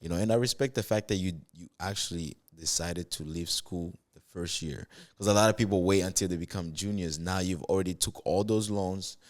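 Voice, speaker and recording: A man with a very low, deep voice hosts a casual podcast. He asks questions in a laid-back, chatty manner, laughs often and offers friendly advice. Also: steady tone, fairly quick, hardly any pauses. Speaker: podcast host.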